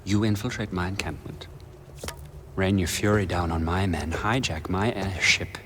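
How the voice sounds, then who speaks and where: An actor playing a smug, condescending villain in a video game, speaking with formal, measured menace. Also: male voice, deep voice, noisy background, natural voice. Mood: cold condescension. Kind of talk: video game cutscene acting.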